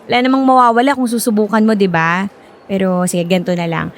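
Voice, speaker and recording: An actress with a Filipino accent playing a supportive, chatty former classmate in a radio drama, coaxing and reassuring. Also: female voice, medium pitch, level tone, quick pace, lightly acted. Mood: coaxing, helpful. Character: encouraging, chatty former classmate, a woman working at a school.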